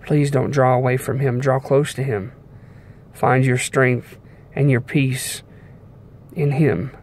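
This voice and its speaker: A young man giving an informal devotional sermon in an earnest, measured way, pausing between short phrases. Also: medium pitch, fairly even intonation, a little mellow. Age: young adult. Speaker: preacher giving a devotional message. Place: inside a car. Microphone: phone at arm's length. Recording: clear background noise inside a car.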